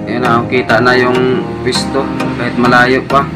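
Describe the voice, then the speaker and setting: A man's voice: mid-range voice, monotone, measured pace. A man chatting casually to his viewers as a motorcycle vlogger, often repeating himself. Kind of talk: casual vlog chat. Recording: music over ride, vehicle and ambient noise.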